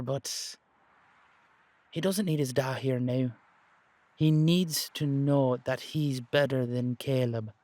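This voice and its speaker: Actor as deliberate, persuasive man, slow and dry-recorded. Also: medium pitch, darkish tone, dry recording, lightly acted. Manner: deliberate, persuasive, halting phrases for emphasis. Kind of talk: dramatic audio roleplay dialogue.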